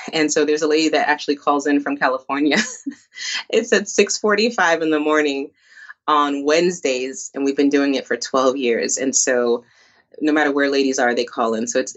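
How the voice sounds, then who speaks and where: An upbeat woman tells her story as an interview guest. She talks with quick asides, and her voice comes through slightly muffled. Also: sits low. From born in Colombia, raised in New Jersey. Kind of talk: casual interview conversation.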